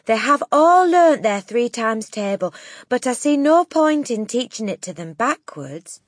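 Woman as a principled, quietly firm schoolteacher. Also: fairly high pitch, sing-song, dry recording, studio quality, voice pushed up. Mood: politely defiant. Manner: measured, precise, politely defiant. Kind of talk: audiobook character acting.

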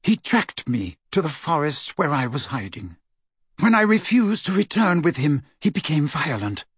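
Guy doing a proud young lady ghost, stiff n disdainful, bit husky, audiobook character. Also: fairly high pitch, big pitch swings, moderate pace, no room sound, clean studio sound. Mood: confessional, sorrowful. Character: haughty, proud young woman ghost, cool and guarded.

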